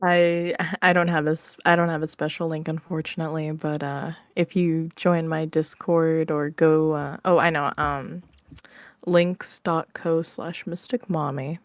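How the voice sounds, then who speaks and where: A woman with a slightly low voice and a dry, close sound chats casually as an interview guest. Her manner is candid and a little self-deprecating, with a giggly streak. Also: little pitch movement, a little slow, no echo. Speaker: interview guest, audio roleplay creator. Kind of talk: casual chat. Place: online voice call.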